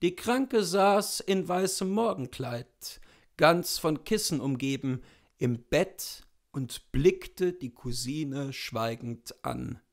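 A man's voice: a little high, lively intonation, slow. A man in his thirties reading a literary story aloud as a scripted narration. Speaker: literary reader. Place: small indoor room with bookshelves.

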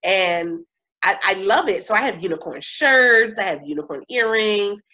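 Woman, 30s, coach, animated, laughing, mid pitch, muffled webcam sound. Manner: animated, laughs often, drawn-out emphasis. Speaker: coach and speaker. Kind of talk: casual interview chat. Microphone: webcam on video call. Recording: slightly muffled video-call audio.

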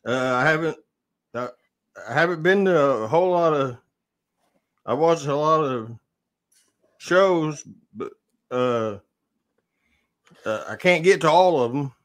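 A man's voice: on the high side, animated, slow, pauses often. A man chatting casually and bluntly, swearing and often repeating his words. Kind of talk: casual chat.

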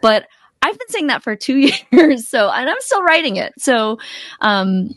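A woman around her thirties or forties, a coach, speaking casually and a little haltingly as an interview guest on a close microphone. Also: on the high side, very animated, dry recording. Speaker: podcast guest, accountability coach. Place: small indoor room, video call. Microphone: close desk microphone.

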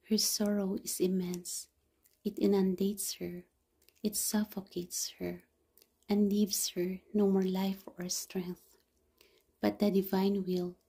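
Hushed, slow woman reading devotions. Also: medium pitch, slightly rough. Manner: soft, hushed, half-whispered delivery. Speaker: devotional reader.

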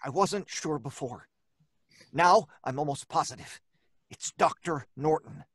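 An actor playing an anxious, agitated husband in an old-time radio drama, urgent and halting. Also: male voice, a little high, a bit bright, breathy edge, no echo, clean studio sound. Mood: urgent, insistent. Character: anxious, agitated husband searching for his missing wife. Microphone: studio microphone in a padded booth.

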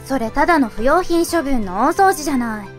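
Woman doing a grumbly anime shrine maiden, high voice, dry comebacks, exasperated. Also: animated, brisk, faint music behind. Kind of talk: anime-style fan voice drama.

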